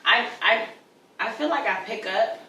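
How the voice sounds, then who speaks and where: Young woman, from D.C., relaxed chat, fillers, far-off mic, roomy noisy recording. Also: a little high, a little slow. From Washington, D.C. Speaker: guest. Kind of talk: casual chat.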